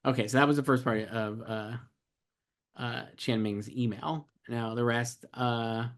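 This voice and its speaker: A man's voice: medium pitch, steady tone. A man co-hosting a podcast, chatting casually in a rambling way, full of "like", and reading out listener emails. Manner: fast, rambling, heavy use of "like". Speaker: podcast co-host.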